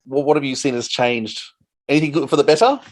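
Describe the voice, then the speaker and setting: Male podcast interviewer, medium pitch, conversational questioning. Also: fast talker.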